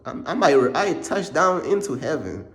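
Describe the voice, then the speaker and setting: A man's voice: a little high, sing-song, moderate pace, a bit bright. Laid-back young man from Uganda. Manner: laid-back, rambling, says "bro" constantly. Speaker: faith vlogger. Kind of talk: casual storytelling. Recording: echoey room.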